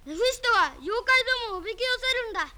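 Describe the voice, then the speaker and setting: A woman's voice: very high voice, expressive, very fast, bright, forceful tone, heavily acted. A woman playing a bold, commanding boy sorcerer, declaiming urgently in a vintage children's audio drama. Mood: determined. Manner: urgent, declamatory, giving orders. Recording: vintage record drama with music behind.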